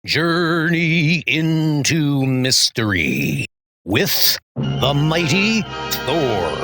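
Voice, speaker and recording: A man giving a grandiose, theatrical scripted narration of a comic book story. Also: medium pitch. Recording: loud music under the voice.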